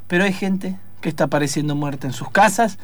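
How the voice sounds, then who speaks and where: A man's voice: fairly high pitch, a little fast, fairly bright tone, natural voice. A man playing an eager police detective sidekick with an Argentine accent in improvised comic radio drama, emphatic and repetitive. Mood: exasperated.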